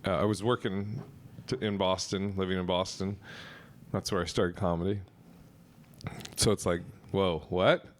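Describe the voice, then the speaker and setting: A man's voice: deep voice, fairly even intonation, moderate pace, long pauses, a little hiss or hum. A stoned, dry-mouthed American man from the Pacific Northwest hosting a casual podcast, scattered and easily confused. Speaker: podcast host. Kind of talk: casual chat.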